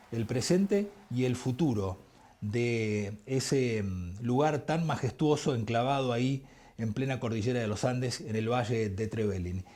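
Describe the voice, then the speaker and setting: A man with an Argentine accent hosting a television interview show, asking quick, probing follow-up questions. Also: mid-range voice.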